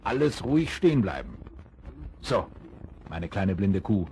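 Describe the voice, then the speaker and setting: A man's voice: sits low, sing-song, unhurried, darkish tone, exaggerated voice. A man playing a jovial father running games at a children's party in a 1970s radio play. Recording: hissy old vinyl record.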